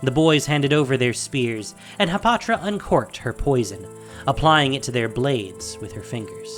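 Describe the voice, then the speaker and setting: A male narrator reads a scripted fantasy story dramatically. His medium-pitched voice moves with animated intonation. Faint music plays beneath the narration. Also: moderate pace.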